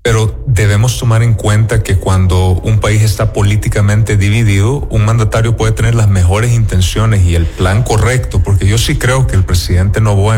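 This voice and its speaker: Salvadoran guy arguing his point, firm and steady, faint music underneath. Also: fairly low pitch. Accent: Salvadoran Spanish. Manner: assertive, argumentative. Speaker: guest. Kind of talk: political interview argument.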